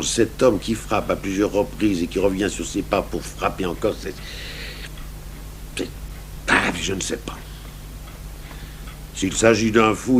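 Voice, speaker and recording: An actor playing a gruff, brooding, stubborn French police commissioner in a 1980s radio drama. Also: male voice, fairly low pitch. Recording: noisy old radio broadcast recording.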